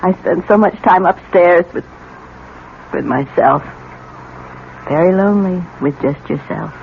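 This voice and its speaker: An actress playing a bitter, lonely middle-aged wife and mother in a theatrical, halting 1970s radio drama style. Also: lowish voice, lively intonation, creaky. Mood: bitter, wistful. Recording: tinny, noisy old radio broadcast.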